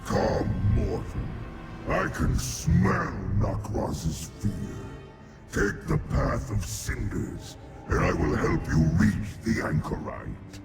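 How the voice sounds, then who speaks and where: 거친 쇳소리의 거대한 괴수 군주. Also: male voice, fairly low pitch, big pitch swings, takes it slowly, creaky, over loud music, heavily acted. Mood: commanding. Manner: commanding, bombastic. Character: mighty restored daedric monster, proud wrathful warlord. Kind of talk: fantasy video game character acting.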